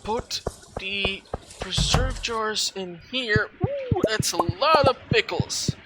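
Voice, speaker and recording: Through heavy noise and clicks comes a slow-talking gaming host with a mid-range voice. The host rambles along casually, thinking aloud while playing through a game. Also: medium pitch, very animated. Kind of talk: casual game walkthrough commentary. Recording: clearly noisy recording with clicks.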